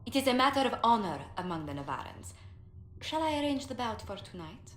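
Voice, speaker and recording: Echo and faint noise surround a poised ambassador in a fantasy game. Her voice is on the high side, courteous and formal, every phrase measured and diplomatic. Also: very animated, fairly bright tone. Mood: coolly firm. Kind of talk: video game dialogue acting.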